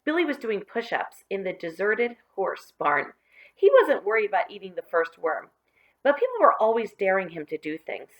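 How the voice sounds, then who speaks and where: A woman reads a children's story aloud in a mid-pitched voice. She is an expressive storyteller who phrases deliberately and leans on the key words. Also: poor audio. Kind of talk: expressive children's book reading.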